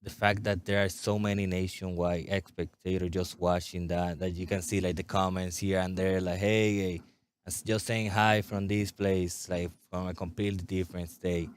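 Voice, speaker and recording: A man's voice: low-pitched, level tone, moderate pace, smooth. A young man from Puerto Rico, an army recruiter, chatting eagerly as an interview guest with loose tag questions. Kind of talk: casual interview.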